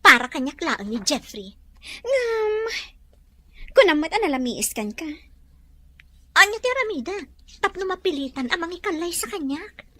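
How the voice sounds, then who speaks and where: A woman's voice: high-pitched, pushed and bright, full character voice. A woman acting a scheming, coaxing friend in a radio drama, talking in a persuasive, conspiratorial way. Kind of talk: Filipino radio drama dialogue.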